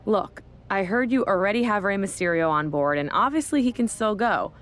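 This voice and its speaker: A woman playing a skeptical wrestling executive in a video game, speaking in a dry, firm, measured way. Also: mid-range voice, lively intonation, natural voice. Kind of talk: scripted video game dialogue.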